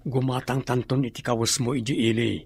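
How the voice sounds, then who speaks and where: A man's voice: medium pitch, flat delivery, a little fast, creaky, a little hiss or hum, natural voice. A man playing a persuasive lover coaxing his sweetheart to run away, in a radio drama. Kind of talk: Ilocano radio drama acting.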